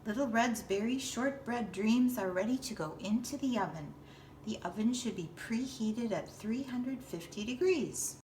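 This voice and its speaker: A woman giving step-by-step instructional narration in a deliberate way, with simple explanatory phrasing. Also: a little high, a little mellow. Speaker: librarian giving a baking demonstration. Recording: distant roomy echo with background noise.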